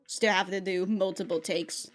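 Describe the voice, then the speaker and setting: A woman giving casual, slangy reaction commentary as the host of a video, in an animated, informal way. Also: medium pitch, moderate pace.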